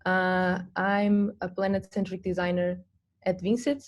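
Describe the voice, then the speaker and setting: A woman's voice: on the low side, level tone, unhurried. Hesitant woman presenting an online workshop. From Portugal. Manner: hesitant, many ums and restarts. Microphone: video call.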